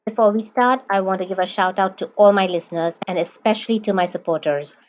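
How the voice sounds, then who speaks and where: Measured woman podcast host, dull sound. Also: mid-range voice. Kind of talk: scripted podcast intro and interview.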